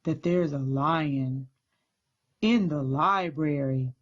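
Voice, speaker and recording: Woman reading as slow, mild storybook Mister McBee. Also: low voice, muffled recording, voice pushed down. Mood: sly, quiet. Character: mild, kindly male library worker, Mister McBee.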